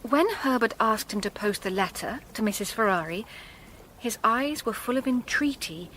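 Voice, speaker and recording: A woman's voice: on the high side, animated, moderate pace, fairly bright tone, a little hiss or hum, rough recording. An actress playing a determined, grave young woman in a radio drama, composed and probing. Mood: accusing.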